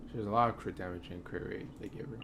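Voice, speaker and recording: A man with a fairly low voice casually narrates his gameplay as a streamer. He talks in an offhand mutter, half to himself, with very loud game noise behind him. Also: steady tone, fast. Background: video game sound effects.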